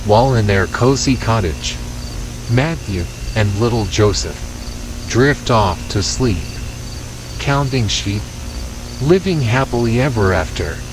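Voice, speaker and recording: A man's voice: lowish voice, expressive, a little slow, noisy background. A man narrating a scripted horror tale in storybook style. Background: music.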